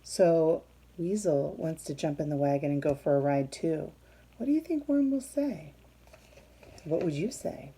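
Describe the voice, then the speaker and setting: A woman reading a picture book aloud to young children, expressive and teacherly, pausing to put questions to her listeners. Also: low-pitched, a little hiss or hum.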